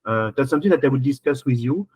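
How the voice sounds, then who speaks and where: A man's voice: medium pitch, expressive, fast, darkish tone, slightly rough, dry recording. A man, hosting a webinar and coaching applicants with rambling, repeated questions over a video call. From France. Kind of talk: casual webinar Q&A.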